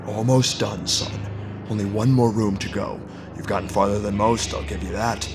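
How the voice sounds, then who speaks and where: Man acting as a low-pitched, weary old man. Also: fairly even intonation, normal speed, music in the background, natural voice. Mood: grave encouragement. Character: old man, weary encouraging guide in a horror game. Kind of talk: horror audio story acting.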